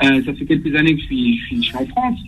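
Caller, male, 30s, from Algeria, hesitant and halting over faint line noise. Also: fairly high pitch, very animated, dull audio. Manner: hesitant, halting, repeats words often. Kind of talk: call-in to a radio show. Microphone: phone line.

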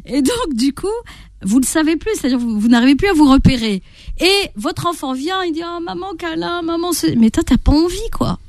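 A woman with a fairly high voice, whose first language is Arabic, talks as a guest on a radio show. She explains parenting advice with animation and acts out everyday scenes. The sound is dry and close. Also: a little fast, dry recording. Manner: lively, animated, acts out parents' and children's quotes.

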